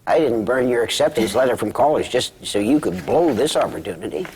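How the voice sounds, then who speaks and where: A man's voice: mid-range voice, animated, brisk, darkish tone, a little hiss or hum, close to an everyday voice, low-quality recording. An actor playing a cranky, blustering old small-town father at a sitcom table read. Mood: indignant. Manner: blustering, indignant.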